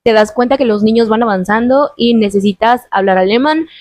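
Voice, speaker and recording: A woman's voice: on the high side, brisk, darkish tone, no echo, clean studio sound. A Mexican woman hosting an interview podcast, talking earnestly and giving advice in casual chat. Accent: Mexican Spanish. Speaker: host.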